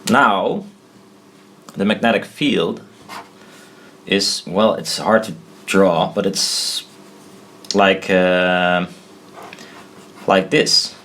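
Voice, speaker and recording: A man with a fairly low voice talks through a hand-drawn explanation very slowly and informally. He halts, thinks aloud and corrects himself, with clear noise behind him. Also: sharp tone. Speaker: presenter of a hobbyist explainer video.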